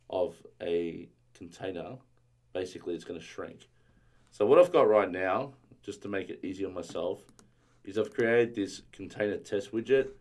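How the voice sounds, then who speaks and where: Low-voiced man live-coding, slightly strained voice. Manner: muttering while reading documentation aloud. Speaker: live-stream coding tutor. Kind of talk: casual live-stream tutorial, thinking aloud.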